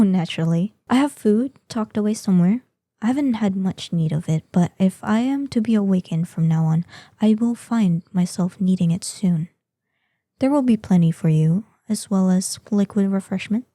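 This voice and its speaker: A woman playing an ancient, proud and curious female dragon in an audio roleplay, speaking softly in formal, old-fashioned phrases. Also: mid-range voice, no echo, clean studio sound, lightly acted. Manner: formal, archaic, deliberate phrasing. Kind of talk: scripted audio roleplay addressed to the listener.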